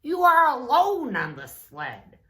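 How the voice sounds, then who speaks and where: A cawing crow from a children's tale, acted by a woman. It is blunt and emphatic, pitched high, with a roomy echo. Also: huge pitch swings, takes it slowly, sharp tone, heavily acted.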